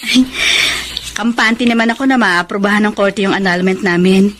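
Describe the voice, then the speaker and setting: An actress playing a bashful, grateful middle-aged wife in a Filipino radio drama, speaking in a hesitant, conversational way. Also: female voice, mid-range voice, moderate pace, fairly bright tone, lightly acted. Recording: clearly noisy radio drama recording.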